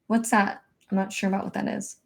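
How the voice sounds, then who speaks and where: Soft-spoken woman chatting on a livestream. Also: medium pitch, flat delivery, fairly quick, vocal fry. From Kansas. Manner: soft-spoken, hesitant, many ums and likes. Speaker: livestream host. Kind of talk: casual livestream chat, reading viewer comments.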